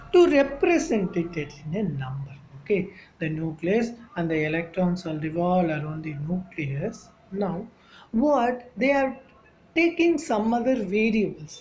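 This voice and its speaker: Tamil-accented woman teaching slowly. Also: fairly low pitch, a little mellow, rough recording. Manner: slow, repeats questions like "Okay, va?" to students. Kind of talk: classroom lecture. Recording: faint background noise.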